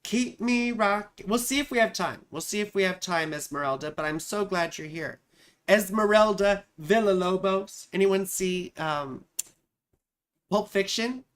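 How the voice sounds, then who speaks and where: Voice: mid-range voice, a little slow, fairly bright tone, clean tone. A chatty astrologer hosting a live stream, giving casual chart readings, talking straight to viewers and often repeating phrases. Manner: chatty, repeats her own phrases, addresses viewers directly.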